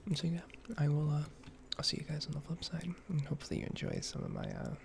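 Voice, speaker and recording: A man giving a halting, rambling ASMR gaming update in a hushed, breathy, mostly whispered voice, slightly nasal from getting over an illness. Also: fairly high pitch, wildly swooping pitch. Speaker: gaming ASMR video creator.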